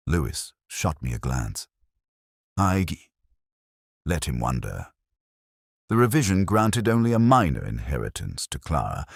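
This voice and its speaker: A man voicing a very deep, brooding police inspector. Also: dry recording, clean studio sound, exaggerated voice. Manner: measured, solemn, literary phrasing. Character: brooding older male police inspector narrating his case, melancholy and precise. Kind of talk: scripted detective story narration.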